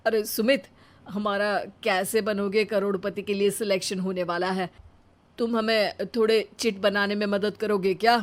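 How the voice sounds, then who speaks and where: A woman's voice: medium pitch, level tone, moderate pace, close to an everyday voice. A woman voicing an overconfident, scheming but dim married man with a breezy, self-assured manner in a story. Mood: eager, scheming.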